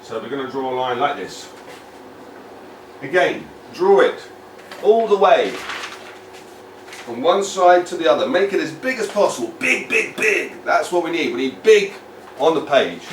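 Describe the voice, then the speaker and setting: A British man from the United Kingdom, an English teacher giving a lively lesson to children, repeating words and asking the viewer questions. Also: a little high, a little slow, pauses often, a little hiss or hum. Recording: echoey room, mic at a distance.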